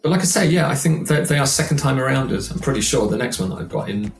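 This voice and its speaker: A man's voice: medium pitch, lively intonation, fast, creaky, soft background music. A British man aged sixty chatting casually as an interview guest, rambling and self-deprecating. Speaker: podcast guest, working function singer. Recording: roomy, echoing sound.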